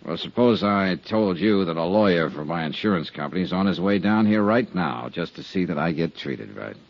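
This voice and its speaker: A cool hard-boiled investigator in an old radio drama. His voice is low and lively in its swings, with a dry, clipped, wry delivery. The sound is a dull 1950s broadcast. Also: normal speed, vocal fry, dry recording, full character voice. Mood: guarded, defiant. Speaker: actor playing the lead investigator.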